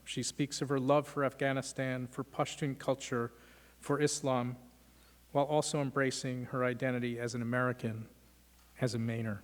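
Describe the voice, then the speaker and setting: A man hosting a law school lecture, giving measured welcoming remarks and thanks, with frequent "uh" fillers. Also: medium pitch, flat delivery. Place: lecture hall. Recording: long hall reverb.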